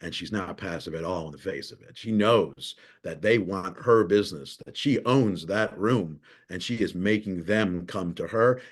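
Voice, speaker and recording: Brief: male professor, lecture delivery, low-ish voice, stumbles and restarts. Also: moderate pace, few pauses, breathy edge. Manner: thoughtful, often repeats and restarts words.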